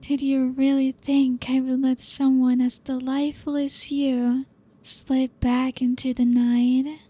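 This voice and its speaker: A young woman voicing a possessive, ancient female vampire in an ASMR roleplay, breathy and whispery, teasing slowly with soft laughs. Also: high voice, level tone.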